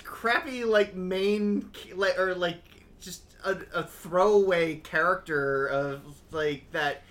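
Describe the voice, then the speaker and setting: Bright young guy ranting about a show, lots of likes and ums, kinda high voice. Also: slow. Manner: rambling, animated critique with frequent fillers. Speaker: podcast co-host. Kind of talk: casual anime review chat.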